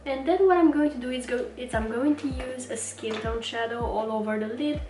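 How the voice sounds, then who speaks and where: A woman's voice: a little high, a little fast, warm tone, a little hiss or hum. A young woman hosting a casual makeup tutorial, chatty and slightly stuffy from a cold as she works at a mirror. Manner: chatty, talks while working at a mirror. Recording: roomy, mic at a distance, music underneath. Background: background music.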